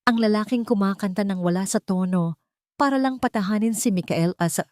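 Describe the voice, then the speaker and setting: A woman narrating a scripted romantic story in a measured, sentimental storytelling manner. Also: medium pitch, darkish tone, dry recording, studio quality. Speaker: narrator of romance audio stories.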